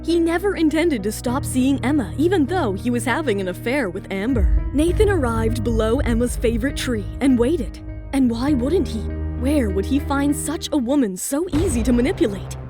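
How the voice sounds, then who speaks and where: A woman's voice: high voice, animated, normal speed, music in the background. A woman narrating a scripted romance audio drama.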